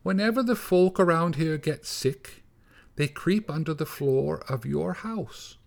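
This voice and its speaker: A man doing a fretful high mother mouse. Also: expressive, a little slow, vocal fry, darkish tone. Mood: tearful. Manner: pleading, fretful, high and wavering. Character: anxious polite mother field mouse, tiny.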